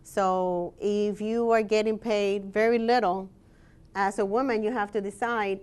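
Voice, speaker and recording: A woman scholar and researcher giving a presentation, explaining in a measured way with frequent "um" pauses. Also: mid-range voice, fairly even intonation, darkish tone, clear, resonant tone. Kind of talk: presentation talk with slides.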